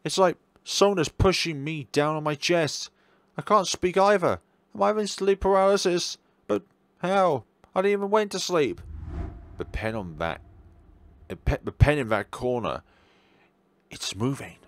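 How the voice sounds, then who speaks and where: A man reading a horror game aloud and commenting on it in a theatrical way, given to sudden loud outbursts. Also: on the high side, a little slow, no room sound. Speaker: let's player. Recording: background music behind the voice. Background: game music.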